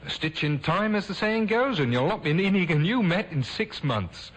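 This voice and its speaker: An actor playing a smooth-talking young handyman conman with glib, folksy patter, in 1950s radio drama style. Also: high voice, very animated, moderate pace, fairly bright tone. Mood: ingratiating. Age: young adult. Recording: noisy old 1950s radio recording.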